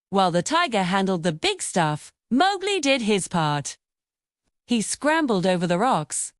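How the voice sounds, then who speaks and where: A woman narrating a scripted children's bedtime story in an expressive, dramatic storytelling manner. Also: mid-range voice, dry recording, clean studio sound.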